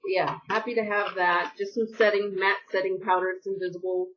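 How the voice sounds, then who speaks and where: A woman's voice: mid-range voice, flat delivery, brisk, far from the mic, muffled recording. Chatty, sniffly woman vlogger. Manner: chatty, rambling, apologetic asides. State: sniffly from allergies. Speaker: beauty vlogger. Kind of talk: casual haul vlog.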